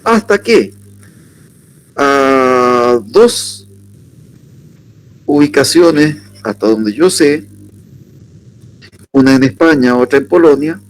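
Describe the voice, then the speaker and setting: A man from southern Chile with a Chilean accent, giving an unhurried workshop lecture and asking his audience questions. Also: medium pitch, animated, slight background noise, rough recording. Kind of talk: lecture on surnames.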